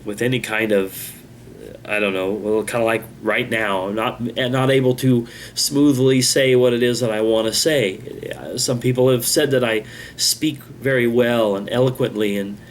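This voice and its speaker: A man hosting his own channel, talking in a halting, rambling, self-critical way, with a congested voice. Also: mid-range voice, fairly even intonation, slight background noise. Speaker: channel host. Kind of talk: casual vlog monologue.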